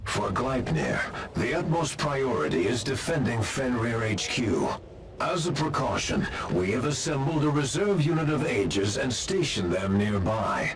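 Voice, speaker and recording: A man voicing a cold, authoritative commander in a video game cutscene, with formal, measured, unyielding phrasing. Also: medium pitch, sing-song. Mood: formal, grave. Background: music.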